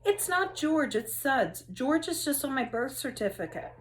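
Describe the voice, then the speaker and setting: A woman's voice: high-pitched, very animated, measured pace, voice pushed up. A woman voicing an unsure third grade boy, hesitant and defensive, in a storybook.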